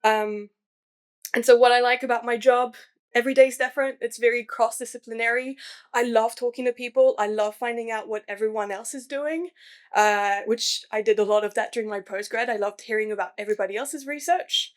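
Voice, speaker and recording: A woman's voice: fairly high pitch, steady tone, a little fast. A young woman from New Caledonia, a museum science communicator chatting enthusiastically about her career, with frequent fillers. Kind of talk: casual career talk.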